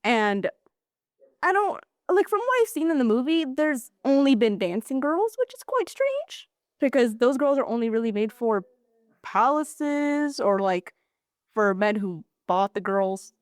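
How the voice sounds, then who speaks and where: A teenage girl hosting a student podcast, chatting casually with lots of filler words and lively opinions. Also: a little high, measured pace, dry recording, clean studio sound. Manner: chatty, many filler likes. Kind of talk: casual chat.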